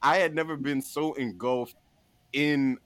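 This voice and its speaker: A man talking casually and comedically as a podcast guest, pitching a list with an emphatic, playful showman delivery. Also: steady tone, a little slow, fairly bright tone, no echo.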